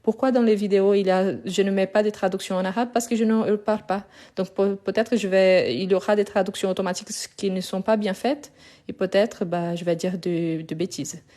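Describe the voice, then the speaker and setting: A woman from France and Brazil teaches in an informal livestream. Her voice is mellow and dark, sitting at a middle pitch. She explains things simply and often rephrases what she has just said. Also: fairly even intonation, fairly quick. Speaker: French teacher hosting a live class. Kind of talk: informal livestream lesson talk.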